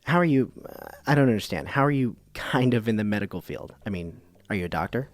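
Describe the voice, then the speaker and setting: Guy as earnest public radio host, lowish voice, lots of pitch movement, dry close sound, audio comedy. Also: lively intonation, quick pace, dry recording. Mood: puzzled, curious. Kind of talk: public radio scripted narration and interviewing.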